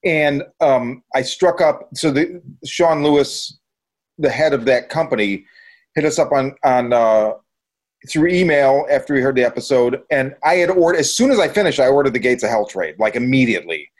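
A man's voice: medium pitch, lively intonation, moderate pace. A man hosting a podcast, chatting casually in a rambling, enthusiastic way. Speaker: podcast host. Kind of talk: casual review chat.

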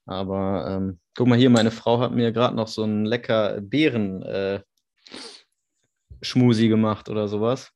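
A man's voice: lowish voice, fairly even intonation, a little slow, darkish tone. A man in his thirties hosting a casual interview over a video call, chatty and curious. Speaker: podcast host.

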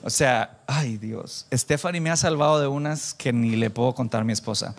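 A man preaching informally to a church congregation, animated and self-deprecating, bantering with listeners and dropping in English phrases. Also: mid-range voice, moderate pace. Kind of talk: informal conversational sermon with anecdotes.

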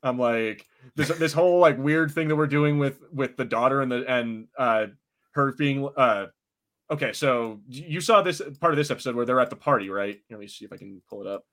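A man co-hosts a podcast in a middling-pitched voice. He talks in a casual, informal review style and swears freely. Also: a little fast, fairly bright tone. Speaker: podcast co-host.

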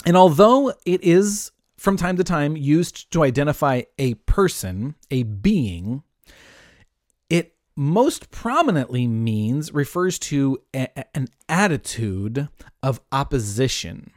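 A man's voice: a little high, big pitch swings, takes it slowly, no echo, studio quality. A young adult man giving a casual Bible lesson, chatty and full of asides. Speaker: Bible teacher.

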